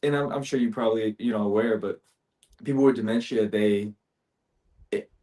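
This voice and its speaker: A man's voice: fairly low pitch, normal speed, dark tone. A man taking part in a panel discussion, explaining his ideas in a casual conversational style. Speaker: panel participant.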